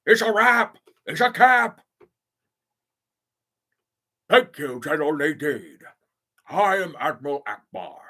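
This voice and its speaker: A man voicing a commanding alien fish admiral in a loud, high, gravelly bark, as an impression during a live stream. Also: big pitch swings, slow pace, long pauses, full character voice. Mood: alarmed. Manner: shouting.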